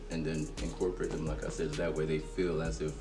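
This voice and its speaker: A man in his thirties from Haiti, guesting on a podcast, with an extremely deep, low voice. He talks in an easygoing, soft-spoken way, and he sounds a little far from the microphone, with music clearly audible underneath. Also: level tone, fast talker. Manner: easygoing, soft-spoken, many ums and "actually". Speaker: chef and caterer, guest. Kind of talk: casual interview.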